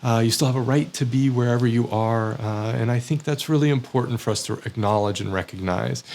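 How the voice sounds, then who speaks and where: American guy talking to camera, kinda gravelly, lots of uh and restarts. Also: medium pitch. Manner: hesitant, full of uh and self-corrections. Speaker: academic vlogger. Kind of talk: casual reflective monologue.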